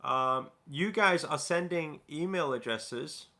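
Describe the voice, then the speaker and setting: Gravelly, bright-voiced man hosting a live Q&A. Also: a little high, slow. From Newcastle, England. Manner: chatty, loose, many fillers and restarts. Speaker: livestream host. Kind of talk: casual live Q&A, reading viewer comments aloud.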